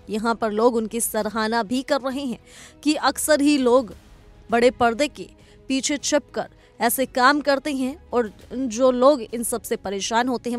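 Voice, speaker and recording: High-pitched woman reading entertainment news over music. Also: no room sound. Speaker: news presenter. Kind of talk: scripted entertainment news narration. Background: background music.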